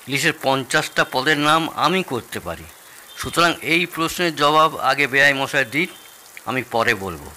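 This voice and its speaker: A man's voice: medium pitch, sharp tone, slight background noise. A man acting a boastful, food-loving middle-aged father-in-law with an East Bengali accent in an audio drama, theatrical and savouring.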